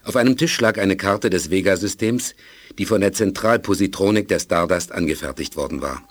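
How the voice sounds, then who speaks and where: A man's voice: deep voice, fairly quick. A man narrating a space adventure in a composed, scripted manner for a 1980s German radio play. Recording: music under the voice.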